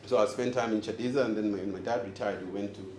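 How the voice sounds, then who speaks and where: Man from Zambia, forties, deeper voice, playful speaker quizzing audience, roomy echo, background noise. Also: steady tone, quick pace, a little mellow. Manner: joking and engaging, quizzes the crowd. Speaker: host and speaker. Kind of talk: live talk to an audience. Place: event room with audience. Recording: echoey room, audible noise.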